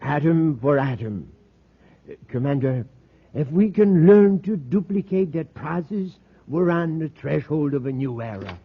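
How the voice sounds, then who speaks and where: A tinny, vintage radio recording carries an earnest middle-aged professor. His voice is husky and rather high, scholarly and excitable, with small hesitations as he explains. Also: unhurried, full character voice. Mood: intense, explanatory. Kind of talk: 1950s radio drama acting.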